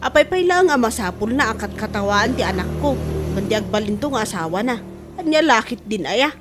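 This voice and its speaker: A woman's voice: on the high side, very animated, a little fast, music in the background. An actress playing a village mother in a comedy radio drama, speaking with an Ilocano accent. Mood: resigned. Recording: noisy background.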